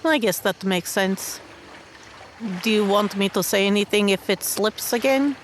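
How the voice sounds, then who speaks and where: A woman playing a tabletop game, chatting casually in character with a hesitant, halting manner. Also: mid-range voice, slight background noise. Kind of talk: casual in-character roleplay chat.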